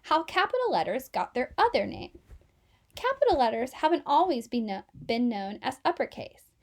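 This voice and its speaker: A woman with a high voice reads a children's story aloud. Her narration is expressive and scripted, shaped for young listeners. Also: normal speed.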